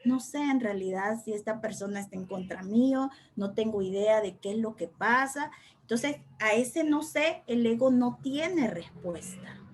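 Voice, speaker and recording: Slow, reflective woman teaching. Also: on the high side, slight background noise. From El Salvador. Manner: slow, reflective, repeating phrases for emphasis. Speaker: study group teacher. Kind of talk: spiritual study class.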